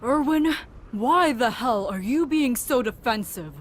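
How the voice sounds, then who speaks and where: A woman's voice: on the high side, animated, measured pace, a little hiss or hum. A woman playing a level-headed, outspoken scientist in an audio drama, frank and reasoning. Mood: challenging. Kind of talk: natural audio drama acting.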